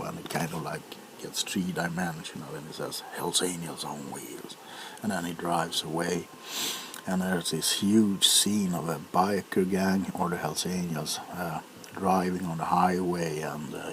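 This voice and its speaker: A man in his forties from Sweden chatting in soft-spoken ASMR style, hesitant and unhurried, often drifting into whispers. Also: low-pitched. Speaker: ASMR video host. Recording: faint background noise.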